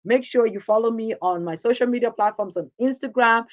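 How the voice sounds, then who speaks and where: Husky-voiced woman giving upbeat encouragement. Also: fairly high pitch, fairly quick. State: hoarse from a sore throat. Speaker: host. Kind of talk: motivational talk show sign-off.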